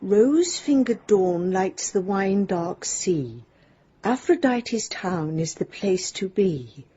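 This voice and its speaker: Very slow, mellow woman. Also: medium pitch, animated, slightly rough, dull audio. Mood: deliberate.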